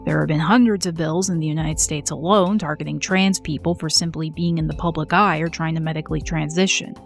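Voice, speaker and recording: A woman narrating a scripted video essay in an earnest, measured way. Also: low-pitched, steady tone, creaky, faint music behind.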